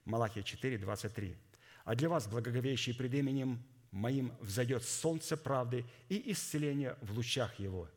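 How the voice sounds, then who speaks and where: A man delivering a sermon in a formal, didactic, deliberate way, his voice somewhat hoarse from a cold. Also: medium pitch. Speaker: preacher. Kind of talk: sermon with scripture readings and exposition.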